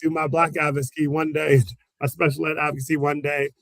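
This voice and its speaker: A man, a special education teacher, answering interview questions in an animated storytelling way, laughing often and acting out quotes. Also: fairly high pitch, brisk, gravelly, no room sound.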